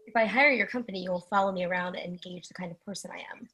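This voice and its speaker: A woman's voice: fairly low pitch, fast talker, sharp tone, slightly rough, low-quality recording. A woman in a script reading, playing an elegant, cold and defiant woman in her mid-forties. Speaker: actor in a screenwriters' readers theatre.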